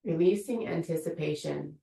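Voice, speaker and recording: A woman teacher leading a guided meditation in a slow, soothing, invitational way. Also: low-pitched, gravelly, dark tone, reverberant, far from the mic, low-quality recording. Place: room of an online yoga studio.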